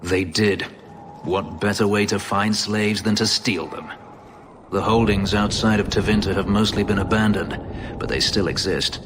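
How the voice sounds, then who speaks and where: A man's voice: fairly low pitch, moderate pace, background noise, close to an everyday voice. An actor playing a bitter, brooding male elf who escaped slavery, speaking grimly and formally in a video game. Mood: wary, resentful. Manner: grim, terse, formal phrasing.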